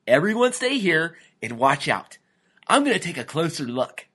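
A man voicing an excitable, anxious father lizard in a storybook, with dramatic exclamations. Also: a little high, moderate pace, breathy edge, pitched above the performer's own voice, full character voice. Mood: earnest, wary. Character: excitable anxious male father lizard, brave but easily panicked.

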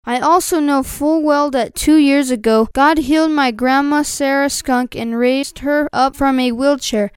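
Squeaky, earnest little cartoon skunk. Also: male voice, steady tone, a little slow, hardly any pauses, dry recording. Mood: bold, earnest. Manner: simple, polite, eager. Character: cheerful, earnest young male skunk, humble and bold. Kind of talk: children's story character voice.

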